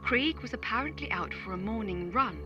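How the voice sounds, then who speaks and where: A woman voicing a polite, unflappable phone voice assistant in an audio drama, measured and impersonal, through a small device speaker. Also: on the high side, expressive, music in the background. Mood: neutral, informative. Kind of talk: audio drama acting, scripted read-out. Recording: dull lo-fi device-speaker sound.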